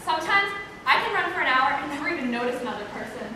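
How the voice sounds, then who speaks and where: A woman's voice: high voice, expressive, fast talker. A woman playing a wistful, restless girl musing aloud before dawn in an amateur stage play. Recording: echoey hall, distant mic, noisy.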